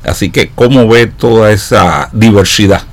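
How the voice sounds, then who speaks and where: Male interviewer, fairly low pitch. Formal and wordy, feeling his way through long questions with frequent hesitation. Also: normal speed.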